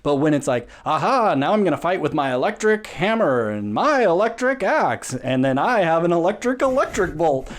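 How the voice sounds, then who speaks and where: A man co-hosting a podcast, talking through film fight scenes in a rambling, animated way that stumbles on words. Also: fairly high pitch, normal speed, few pauses. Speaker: podcast co-host. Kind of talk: casual film review chat.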